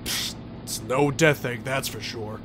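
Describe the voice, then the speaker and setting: A man's voice: mid-range voice, measured pace, background noise, lightly acted. A man playing a brash, blunt, competitive red echidna fighter in a comic dub.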